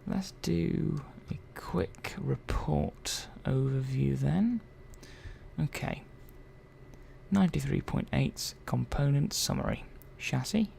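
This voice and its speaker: Man playing a soft, creaky-voiced robot technician. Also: mid-range voice. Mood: curious, puzzled. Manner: unhurried, murmuring, thinking aloud with hesitant asides. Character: curious, gently chatty robot repair technician. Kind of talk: soft-spoken ASMR roleplay. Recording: faint background noise.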